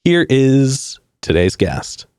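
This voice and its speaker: A man's voice: medium pitch, very animated, slow pace, darkish tone, smooth, dry recording, studio quality. A man hosting a casual music podcast, upbeat and chummy, with slangy reactions. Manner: upbeat, chummy, slangy ("rad", "hell yeah"). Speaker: podcast host.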